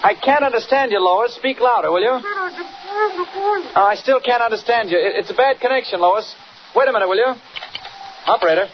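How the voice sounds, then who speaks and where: Through a muffled, very noisy old radio recording comes an earnest American newsman. His voice sits high for a man. He speaks in the hesitant, well-meaning way of a mild-mannered 1940s serial hero. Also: a little fast, long pauses. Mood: urgent, anxious. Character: earnest mild-mannered male newspaper reporter, anxious but reassuring.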